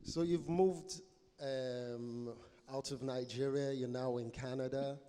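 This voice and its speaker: A man's voice: medium pitch, steady tone, takes it slowly, mellow, clean tone. A man moderating a live conversational interview, feeling his way through questions and rephrasing them as he goes. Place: live festival event hall. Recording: echoey hall with long reverb.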